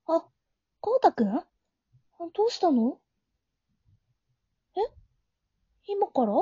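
Woman acting a high, sweet, naive young woman. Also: very animated, takes it slowly, dark tone, no room sound, full character voice. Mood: gentle, casual. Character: gentle, naive young woman, flustered older childhood friend. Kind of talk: amateur romantic audio drama acting. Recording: dull, lo-fi home recording.

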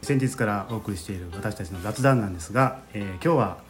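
A man's voice: fairly low pitch, fast talker, faint music behind. A man with a Kansai accent hosting a casual chat, mixing polite speech with dialect and frequent interjections. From Japan, Hyogo. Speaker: podcast host, amateur theater group member.

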